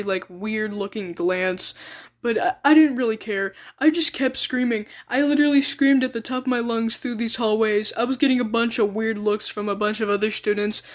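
Teen kid rambling thru a storytime vlog, mid pitch, keeps repeating stuff for emphasis. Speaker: student storyteller.

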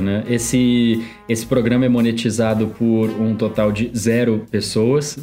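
A man's voice: fairly low pitch, darkish tone. A Brazilian man with a São Paulo accent, co-hosting a casual podcast with a hesitant, self-deprecating manner and many restarts. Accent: São Paulo Portuguese. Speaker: podcast co-host. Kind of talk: casual chat.

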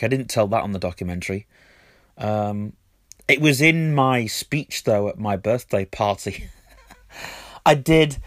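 A man hosting his own audio blog, his voice husky from a cold, chatting and rambling with lots of ums. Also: lowish voice, dry recording, clean studio sound. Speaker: podcast host. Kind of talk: casual solo audio blog.

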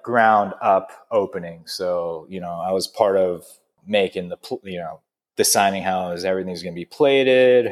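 A chef in his thirties chatting casually over drinks, rambling with lots of fillers and laughing often. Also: low voice. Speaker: chef and restaurant owner. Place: in a restaurant.

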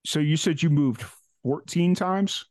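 A man's voice: on the high side, a little slow, dry recording, clean studio sound. Laid-back man hosting a podcast. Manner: relaxed, many "uh" fillers and trailing "so". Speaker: podcast host. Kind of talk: casual interview.

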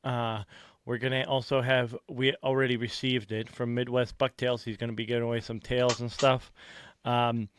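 Casting: male podcast host, mid-pitched, rambling and loose, dry close recording. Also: little pitch movement, normal speed, clear, resonant tone, no echo. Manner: loose, rambling, lots of filler words. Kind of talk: casual podcast chat.

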